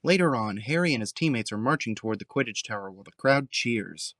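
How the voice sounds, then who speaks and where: A man's voice: mid-range voice, animated, quick pace, fairly bright tone, dry recording, clean studio sound. A man narrating an audio drama in a scripted, scene-setting style.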